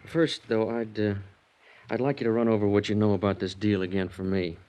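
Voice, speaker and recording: An actor playing a cautious, plainspoken deputy sheriff in a 1950s radio drama. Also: male voice, fairly low pitch, steady tone, a little fast, warm tone, close to an everyday voice. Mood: wary. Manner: wary, plainspoken. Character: cautious male small-town deputy sheriff.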